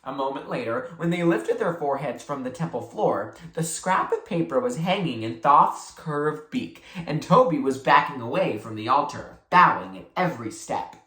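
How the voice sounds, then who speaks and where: A reader narrating a children's novel aloud in expressive storytelling style. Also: mid-range voice, normal speed, few pauses. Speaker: book reader. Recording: echoey room.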